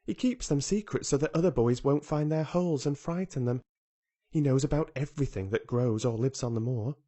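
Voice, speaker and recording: A man's voice: mid-range voice, brisk, mellow, pitched above the performer's own voice, close to an everyday voice. A man reading aloud who voices a headstrong, contrary young girl with an earnest, blunt way of speaking. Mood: eager.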